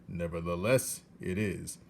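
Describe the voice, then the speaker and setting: A man's voice: deep voice, gravelly. A man doing a stiff, dutiful king's soldier. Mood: official. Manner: formal, measured, loud official tone.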